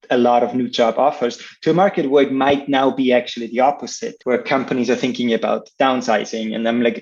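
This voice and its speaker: A man with an Austrian accent and a gravelly, creaky edge to his voice. He is a native German speaker giving casual explanations as an interview guest. The sound is a little dull and lo-fi. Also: mid-range voice. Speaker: interview guest, startup co-founder.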